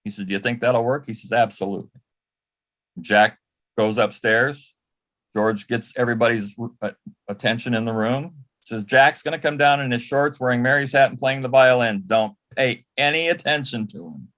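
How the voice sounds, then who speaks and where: Older man as a panel guest, mid-pitched, swapping fond old anecdotes in a conversational, halting way. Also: a bit bright. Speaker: panel guest, former celebrity assistant. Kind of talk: casual anecdotal storytelling on a panel.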